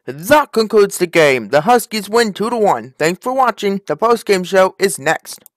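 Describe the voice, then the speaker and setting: Higher-voiced man announcing, swinging pitch. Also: very animated, a little slow, dry recording. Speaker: narrator and match announcer. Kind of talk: scripted narration and sports commentary of a comic dub.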